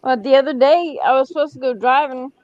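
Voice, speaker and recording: A woman's voice: sits high, steady tone, brisk, a little mellow, dry recording, dull audio. A woman speaking bluntly and matter-of-factly in a casual group chat. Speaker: chat participant.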